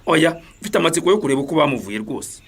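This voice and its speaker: A man's voice: fairly high pitch, lively intonation, racing, husky. A man playing a mocking, sceptical villager in a radio drama, teasing and scornful of others' views. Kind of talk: Kinyarwanda radio drama acting.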